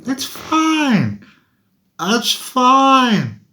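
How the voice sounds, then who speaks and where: A man's voice: very high-pitched, wildly swooping pitch, very slow, bright, forceful tone, a little hiss or hum. A man giving a playful, chatty tarot reading, laughing often and talking to viewers. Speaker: tarot reader. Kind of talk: casual tarot reading monologue.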